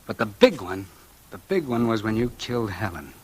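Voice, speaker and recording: An actor playing a bitter, numb man in a doomed crime story, in halting, brooding 1940s radio noir style. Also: lowish voice, sing-song, slightly rough, rough recording. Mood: grim, accusing. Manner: halting, brooding first-person delivery. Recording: vintage radio drama, music underneath.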